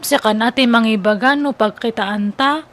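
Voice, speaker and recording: An actress playing a discontented, whiny young wife and daughter in an Ilocano radio comedy drama, speaking in a plaintive, dramatic way. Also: female voice, on the high side, normal speed, fairly bright tone, lightly acted. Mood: coy, subdued. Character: discontented wife, whiny daughter leaving her sick husband.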